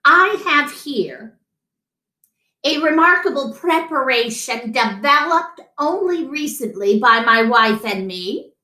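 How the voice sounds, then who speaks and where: A woman's voice: sits high, expressive, slow, bright, forceful tone, voice pushed up, full character voice. A woman reading the part of a stern, dutiful male mouse dentist in an emphatic, no-nonsense way. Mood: formal persuasion. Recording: roomy echo.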